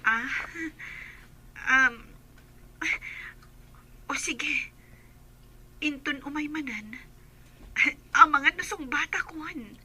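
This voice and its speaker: A woman's voice: sits high, extremely slow, long pauses, sharp tone, full character voice. A woman playing a hesitant, demure young female employee in a Filipino radio drama. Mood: confiding, hesitant.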